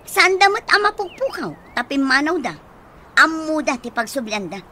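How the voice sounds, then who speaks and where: An actress playing a grumbling, worried guardian who is hard on children, in a radio drama. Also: female voice, high-pitched, big pitch swings, moderate pace, pushed and bright, slight background noise, full character voice. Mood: irritated, worried. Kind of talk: Ilocano radio drama acting.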